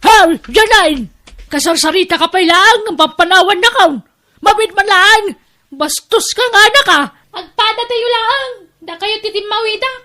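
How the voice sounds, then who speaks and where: A very high-pitched, piercing matriarch acted by a woman in a radio-style melodrama. She is harsh and self-pitying, nagging in sharp, exclamatory complaints. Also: moderate pace. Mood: angry scolding. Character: ill-tempered, scolding wife and grandmother, self-pitying and harsh. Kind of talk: melodramatic Ilocano audio drama acting.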